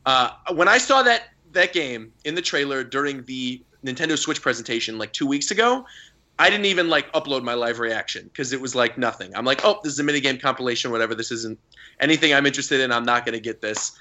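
A young man co-hosting a podcast, telling stories with excitement and laughing often. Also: mid-range voice, fast talker. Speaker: podcast co-host. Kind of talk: casual podcast chat.

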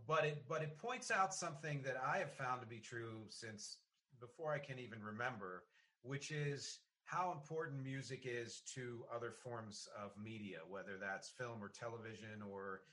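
A man speaks as the host of a casual interview. His voice is bright and forceful, at an average pitch. He is reflective and halting, working out his points aloud. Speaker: podcast host.

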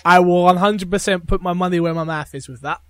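A man with a somewhat high voice, recorded dry and close, banters on a casual podcast. He is blunt and joking as a co-host. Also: fairly even intonation, fast talker, dry recording.

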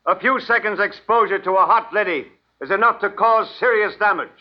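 A man's voice: high-pitched, brisk, a little hiss or hum, close to an everyday voice. A man playing a stern, coldly formal military colonel in a 1950s radio drama, speaking in commanding, clipped phrases. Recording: muffled vintage radio recording.

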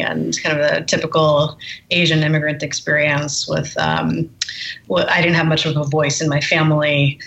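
A woman's voice: low voice, gravelly, bright, forceful tone, muffled recording. A woman from the United States, a memoirist and Marine veteran, speaking candidly as a podcast guest, with many fillers and restarts. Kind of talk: podcast interview.

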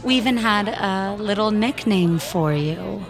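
A woman's voice: medium pitch, lively intonation, a crowd in the background. A woman playing a sly office rival in an audio drama, falsely sweet and insinuating. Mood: menacing. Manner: slow, insinuating.

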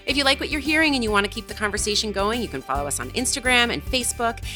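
A woman co-hosting a podcast, delivering a brisk, upbeat sign-off. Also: a little high, expressive, bright, forceful tone, soft background music. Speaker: podcast co-host. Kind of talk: casual chat and sign-off.

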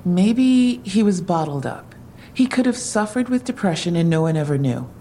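An actress playing a wry, probing police detective in an audio drama, matter-of-fact and questioning. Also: female voice, fairly low pitch, expressive, moderate pace, mellow, a little hiss or hum, close to an everyday voice.

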